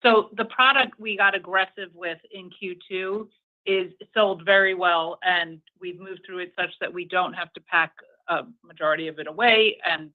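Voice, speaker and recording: A male company executive answering analysts on an earnings call in an emphatic, confident manner, over a conference phone line. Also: high voice.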